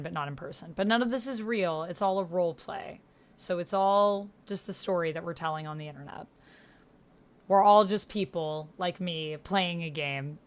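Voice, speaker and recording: A woman chatting casually on a livestream as she plays a text roleplaying game, thinking aloud and reading messages out as she types. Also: fairly low pitch. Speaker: streamer and player of a text-based roleplaying game. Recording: noticeable background noise with keyboard typing. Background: computer keyboard typing.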